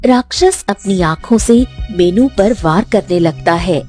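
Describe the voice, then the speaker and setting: Slow, mellow female storyteller. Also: mid-range voice, expressive, soft background music. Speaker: narrator. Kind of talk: scripted story narration.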